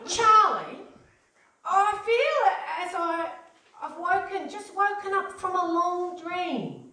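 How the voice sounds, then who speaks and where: A woman's voice: extremely high pitch, lively intonation, slow, pushed and bright, exaggerated voice. A woman playing a puzzled fisherman's wife in a live radio play. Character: fisherman's wife, dazed after a long dream. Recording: very echoey hall, distant.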